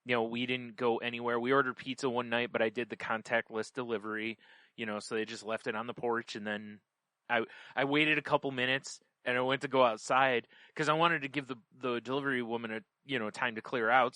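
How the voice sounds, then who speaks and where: A man's voice: medium pitch, level tone, fairly quick, bright, forceful tone, no room sound. A man chatting casually as a podcast host, rambling through personal anecdotes and acting out bits of conversation as he goes. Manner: rambling, conversational, quotes himself and others.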